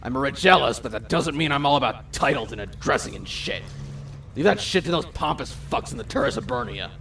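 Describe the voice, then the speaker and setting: A man's voice: mid-range voice, lively intonation, brisk, vocal fry, a bit bright, far from the mic, a little hiss or hum. A man playing a short-tempered, sarcastic vampire gang boss who swears and barks orders, in an amateur audio drama. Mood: exasperated. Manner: profane, sarcastic, bossy.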